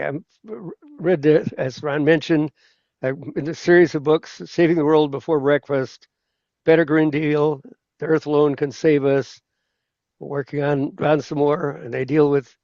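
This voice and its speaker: A man's voice: fairly high pitch, gravelly, darkish tone, no echo. An older man co-hosting a panel, rambling and repeating words as he talks. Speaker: co-host, author. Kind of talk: panel discussion. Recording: dull, dry lo-fi mic.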